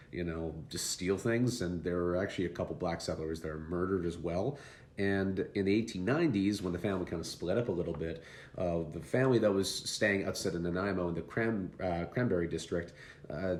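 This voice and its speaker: Wry low-voiced man telling history. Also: darkish tone. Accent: Pacific Northwest. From Vancouver Island, Canada. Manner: conversational, wry asides. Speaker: history video host. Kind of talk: casual history narration. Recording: roomy echo.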